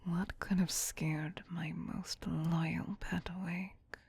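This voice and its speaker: A woman playing a possessive, teasing, soothing vampire queen in a whispered ASMR roleplay, breathy, hushed and doting yet domineering. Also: fairly low pitch, flat delivery, takes it slowly, full character voice. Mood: tender coaxing.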